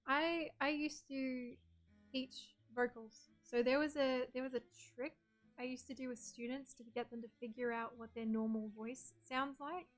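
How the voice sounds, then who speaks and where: Australian woman, game streamer; soft and breathy, a touch high-pitched, chatty, answers chat as she goes. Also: fairly even intonation, moderate pace, faint music behind. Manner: chatty, reads chat messages aloud and answers them. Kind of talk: casual chat with stream viewers.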